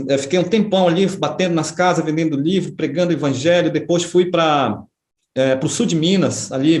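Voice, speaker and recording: A middle-aged Brazilian man telling his life story in an unhurried, reflective way, often adding small tag questions. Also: fairly high pitch. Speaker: interviewee, writer and history professor. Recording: echoey room.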